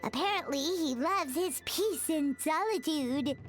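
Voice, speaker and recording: Very high-pitched childlike fairy companion. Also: female voice, animated, unhurried. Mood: puzzled, explaining. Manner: bubbly, exclaiming, childlike. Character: tiny excitable childlike fairy companion, chatty and frank. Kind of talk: anime video game voice acting. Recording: game dialogue with music behind.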